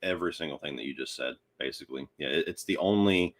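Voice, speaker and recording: A man's voice: rumbling low, fast, a bit bright. A man speaking as a podcast guest, giving his opinions on films in a casual, conversational way. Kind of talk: casual film discussion.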